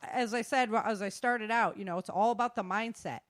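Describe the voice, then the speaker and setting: A woman's voice: medium pitch, a little fast, no room sound. A woman giving casual, conversational advice in an emphatic, plain-spoken manner. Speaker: guest sharing self-defense advice.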